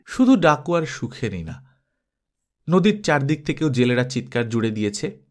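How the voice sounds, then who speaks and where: A male storyteller reads scripted literary fiction. His mid-pitched voice moves with very lively intonation, giving the narration an expressive, dramatic shape. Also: moderate pace. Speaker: narrator, audiobook reader.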